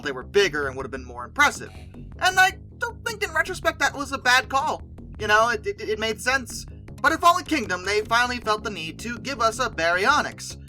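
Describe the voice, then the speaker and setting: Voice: mid-range voice, sharp tone, poor audio. A video host giving casual, opinionated commentary in a rambling, self-correcting, colloquial way. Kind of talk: casual commentary on film dinosaurs.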